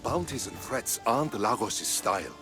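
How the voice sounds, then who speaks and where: A cautious male officer, lowish lively voice, measured, wry, game drama, loud music. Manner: measured, pragmatic, dryly wry. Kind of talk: dramatic game cutscene acting.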